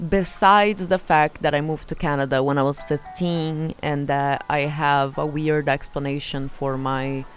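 A young Brazilian woman with a Brazilian accent tinged with Eastern European sounds, telling chaotic self-mocking stories in a casual vlog and laughing often. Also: deep voice. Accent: Brazilian Portuguese with Eastern European traces. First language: Portuguese. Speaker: vlogger. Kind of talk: casual storytelling monologue.

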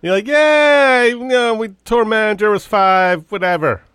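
A man's voice: high-pitched, unhurried, fairly bright tone, clear, resonant tone, no room sound, studio quality. A man co-hosting a podcast with casual, playful, teasing banter. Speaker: podcast co-host.

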